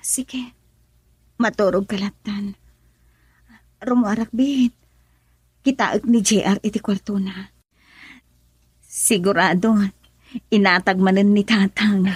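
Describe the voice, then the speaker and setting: A woman playing a young Filipino woman in a romantic family radio drama, with a breathy, husky voice and an emotive delivery. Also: mid-range voice, steady tone, takes it slowly, pauses often, no room sound, studio quality. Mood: tender.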